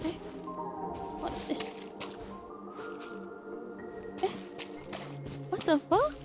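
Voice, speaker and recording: A woman's voice: very high voice, sing-song, extremely slow. A woman streamer giving bemused, blunt reactions to a game in short casual bursts, swearing as she goes. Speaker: gaming streamer. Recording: game music loud behind the voice.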